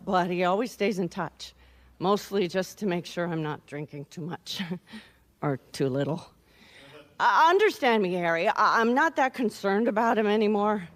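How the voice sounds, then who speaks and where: A woman's voice: mid-range voice, very animated, moderate pace, close to an everyday voice. Radio mystery client played by a woman: cynical, blasé wife, brazen and worldly, with widely swooping delivery. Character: brazen, cynical, wisecracking wife hiring a private detective.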